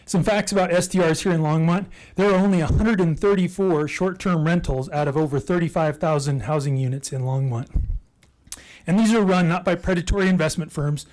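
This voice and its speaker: A man in his sixties giving a prepared public comment to a city council, reading in a measured, persuasive way. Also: fairly high pitch, a little mellow, low-quality recording. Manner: measured, persuasive, data-driven appeal.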